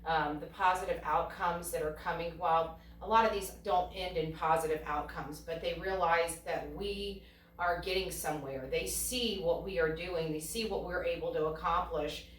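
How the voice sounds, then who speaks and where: A woman's voice: on the low side, steady tone, moderate pace, fairly bright tone. A woman giving earnest, emphatic interview answers, often building them in repeated phrases. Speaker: online video creator, interviewee. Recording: roomy, distant mic, faint noise.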